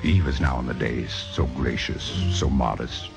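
A man's voice: a bass voice, moderate pace, gravelly. A man playing a cynical, commanding theater critic in a vintage radio drama, with precise, sardonic, possessive delivery. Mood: dry, ironic. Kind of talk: 1950s radio drama acting. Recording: dull vintage radio recording, music behind.

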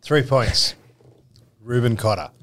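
A man's voice: medium pitch, slow, a bit bright. A man co-hosting a sports podcast, chatting casually and rambling about players with easy laughs. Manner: laid-back, rambling, laughs easily. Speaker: podcast co-host.

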